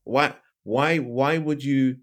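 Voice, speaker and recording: A man's voice: mid-range voice, steady tone, slow pace. A middle-aged man from the UK, a podcast guest and coach, giving emphatic advice with a big voice, repeating his key phrases and asking rhetorical questions.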